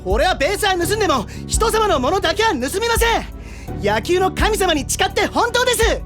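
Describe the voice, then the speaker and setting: Very high, boisterous teenage boy. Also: big pitch swings, normal speed, over music. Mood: protesting. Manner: hearty, declaiming like a team captain. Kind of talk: Japanese audio drama voice acting.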